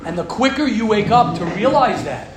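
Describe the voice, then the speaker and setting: A man teaching a group of young men, talking forcefully and repeating his points for emphasis. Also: sits high, expressive, moderate pace, crowd noise. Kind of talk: emphatic religious talk to a group. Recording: very roomy echo with faint background hum.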